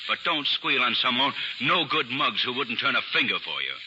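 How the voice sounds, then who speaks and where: A persuasive, pressing prosecutor. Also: male voice, medium pitch, big pitch swings, normal speed, sharp tone, husky, full character voice. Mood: firm. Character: stern male assistant district attorney, persuasive. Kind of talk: 1940s radio acting. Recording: muffled 1940s radio broadcast with hiss.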